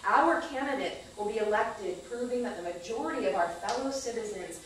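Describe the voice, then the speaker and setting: Echoey, deliberate woman performing a monologue. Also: mid-range voice. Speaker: performer at a staged reading. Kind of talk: dramatic scripted monologue read aloud. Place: small indoor theater stage. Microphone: far camera microphone. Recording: echoey distant stage recording, faint noise.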